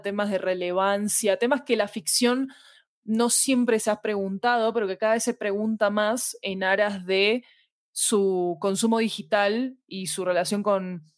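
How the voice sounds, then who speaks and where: A woman's voice: mid-range voice, no echo, clean studio sound. Latin American woman, thoughtful and conversational. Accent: Latin American Spanish with voseo. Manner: thoughtful, discursive, seeks agreement with tag questions. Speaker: podcast guest, fiction podcast creator. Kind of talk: reflective conversational interview.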